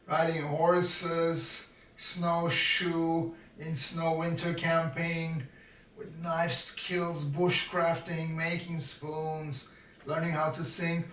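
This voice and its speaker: Slow self-mocking man in his fifties, set back from the mic in a roomy space. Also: a little high, little pitch movement, a bit bright, slight background noise. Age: 50s. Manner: slow, self-deprecating, jokey asides to himself. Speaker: vlogger. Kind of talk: rambling self-mocking monologue. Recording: roomy, from a distance.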